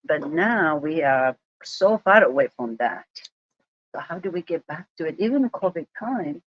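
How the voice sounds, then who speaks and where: A man's voice: a little high, big pitch swings, a little slow, lo-fi sound. Eager man from Ethiopia chiming in. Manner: eager, chiming in with short agreements. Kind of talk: casual podcast conversation.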